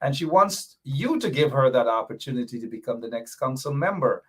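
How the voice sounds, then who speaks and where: A man's voice: mid-range voice, animated, brisk, fairly bright tone, slightly rough. Rambling male talk show host. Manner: rambling, lots of fillers, calls out viewers by name. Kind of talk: casual talk show hosting.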